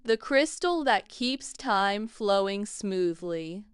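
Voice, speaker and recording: A man voicing a kind, calm woman professor of magic in a bedtime story, gently reassuring. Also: sits high, slow, clean tone, dry recording, studio quality, voice pushed up, exaggerated voice.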